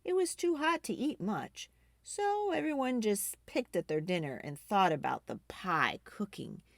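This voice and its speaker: A woman reading a children's book aloud expressively, with dramatic emphasis and drawn-out key words. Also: on the low side, gravelly, no room sound, studio quality. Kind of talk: expressive storytime read-aloud.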